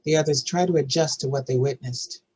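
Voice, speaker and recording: Husky low-voiced woman narrator. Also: brisk, a little mellow. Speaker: narrator reading stage directions. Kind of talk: scripted narration.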